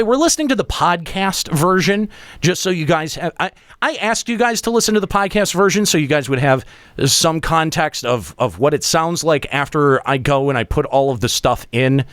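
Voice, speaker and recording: A man hosting a casual radio talk show, giving halting, teasing and bossy feedback to his co-hosts. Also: a little high, expressive, a bit bright, no room sound. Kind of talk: casual on-air critique with co-hosts.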